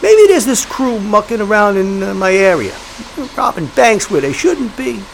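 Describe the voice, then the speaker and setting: A man's voice: high voice, sing-song, moderate pace, creaky, a little hiss or hum, exaggerated voice, poor audio. A man playing a genial, sly 1930s gangster boss in an audio drama, talking in folksy, insinuating slang.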